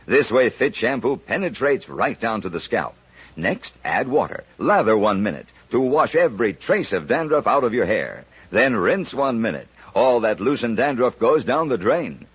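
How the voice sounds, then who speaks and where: A man's voice: mid-range voice, big pitch swings, normal speed, breathy edge. An American man reading a vintage radio commercial as announcer, emphatic and instructional. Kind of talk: vintage radio advertising pitch. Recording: dull, band-limited old radio recording.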